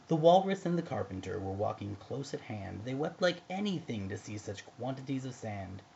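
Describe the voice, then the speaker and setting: A man reading a narrative poem aloud as an expressive amateur storyteller. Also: mid-range voice, moderate pace, creaky, highs cut off, a little hiss or hum. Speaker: amateur poetry reader.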